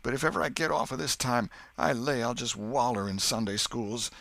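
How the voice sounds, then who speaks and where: A man voicing an excitable, superstitious, self-pitying schoolboy in a rural Southern American dialect for an audiobook. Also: mid-range voice, moderate pace, gravelly, voice pushed up. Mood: wailing remorse. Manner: folksy dialect, exclaiming and whispering. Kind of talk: audiobook character acting.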